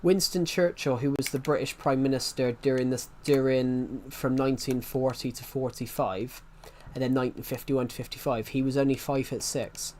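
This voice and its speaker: A man's voice: mid-range voice, steady tone, normal speed, hardly any pauses, darkish tone, slight background noise. A man in his twenties streaming, chatting casually and rambling, saying each phrase twice before moving on. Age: 20s. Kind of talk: rambling casual livestream chat.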